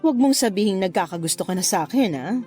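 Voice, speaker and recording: Lady acting teasing cheating wife in tagalog radio drama, mellow lowish voice, super lively, music under it. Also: fast, lightly acted. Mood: coaxing. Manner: teasing, playful, expressive. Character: flirtatious married mother having a secret affair, breezily unapologetic.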